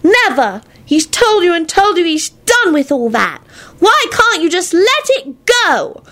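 A woman's voice: very high voice, sing-song, unhurried, fairly bright tone, pitched above the performer's own voice, heavily acted. A woman voicing a sulky, cheeky young wizard boy in a storybook, blurting things out in a childish whine. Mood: defensive.

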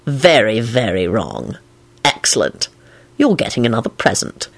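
A woman voicing a playful, mischievous adult male wizard in a fan fiction reading, with a low, gleeful drawl. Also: very animated, a little slow, dry recording, exaggerated voice.

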